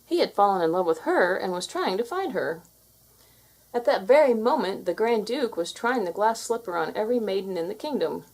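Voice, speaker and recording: A woman's voice: mid-range voice, lively intonation, brisk, a little mellow, rough recording. A woman narrating a children's fairy tale as a scripted read-aloud.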